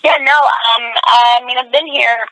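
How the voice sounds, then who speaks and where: A woman's voice: on the high side, steady tone, measured pace, pushed and bright, rough recording. A young woman from Venezuela whose first language is Spanish, a wrestler talking frankly about her life in a casual interview and laughing often. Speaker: professional wrestler, guest.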